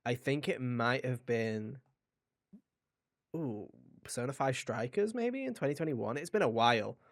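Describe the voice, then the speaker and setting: A man with a mid-pitched voice hosts a gaming podcast. He talks informally and wanders through his thoughts as he goes. He often speaks directly to the audience in a chatty way. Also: animated, unhurried. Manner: rambling, informal, addresses listeners directly. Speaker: podcast host. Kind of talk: casual video game podcast chat.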